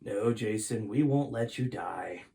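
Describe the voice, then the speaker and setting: A man voicing a courteous, frank and cautious older man in a storybook, with emphatic, measured delivery. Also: fairly low pitch, creaky, mellow. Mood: firm, reluctant.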